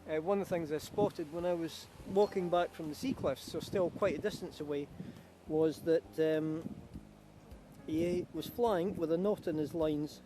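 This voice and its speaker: A middle-aged man from Kenya, a paragliding instructor, chatting with self-assured quick asides outdoors by a beach. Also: a little high. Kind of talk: casual chat to camera outdoors. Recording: outdoor wind and traffic noise.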